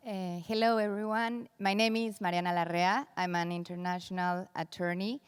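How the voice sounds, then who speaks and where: A woman with a Mexican accent, an international attorney speaking on a conference panel in a measured way, with hesitant fillers. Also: lowish voice, fairly even intonation, clear, resonant tone. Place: large conference hall. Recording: conference hall reverb.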